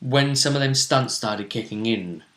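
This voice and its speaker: A man's voice: medium pitch, a little slow, fairly bright tone. A man in an echoey room talks casually as a game master going over a tabletop role-playing game. His delivery is conversational, and the room rings noticeably around his voice.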